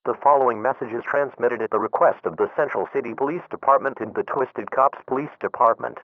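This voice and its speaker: Male emergency announcer, mid-pitched, quick formal read, tinny phone-like band. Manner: formal, impersonal. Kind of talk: scripted emergency alert announcement. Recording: narrow phone-like radio audio.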